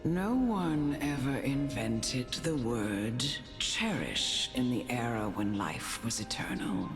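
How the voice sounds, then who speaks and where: A serene fantasy-game noblewoman speaks in a very deep register with slow, measured phrasing. She sounds like a wise, motherly mentor. Long reverb trails her words and music plays clearly behind. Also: animated, exaggerated voice. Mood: contemplative. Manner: measured, philosophical, formal phrasing. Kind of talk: scripted fantasy game dialogue.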